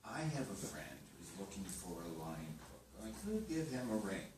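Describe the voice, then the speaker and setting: A man's voice: low-pitched, very animated, moderate pace. A blunt chef teacher who picks everything apart, as a man reads him at a table read. His voice moves up and down a lot, and it comes from far off in an echoing room with some noise. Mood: pointed. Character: critical male culinary teacher, blunt and probing. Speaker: actor in a script reading.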